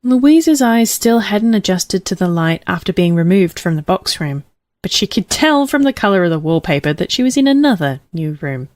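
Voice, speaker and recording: A woman's voice: mid-range voice, lively intonation, moderate pace, a little mellow, no room sound. Woman narrating a storybook, close dry sound. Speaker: audiobook narrator.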